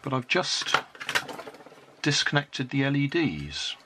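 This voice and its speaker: An older British man narrating a hands-on repair in a casual, unhurried, matter-of-fact way. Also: medium pitch, very animated. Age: 60s or older. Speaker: hobbyist repairing and reviewing a toy. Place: cluttered indoor hobby workbench. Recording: noisy room with clicks and handling sounds.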